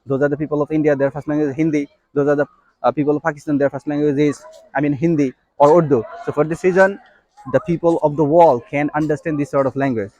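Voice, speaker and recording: A man's voice: on the high side, fairly even intonation, quick pace, warm tone, dry recording, low-quality recording. A young man with a Bangladeshi accent and Bengali as his first language, giving an instructional talk to learners, repeating and stressing his points. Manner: repetitive, emphatic explaining. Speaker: English teacher.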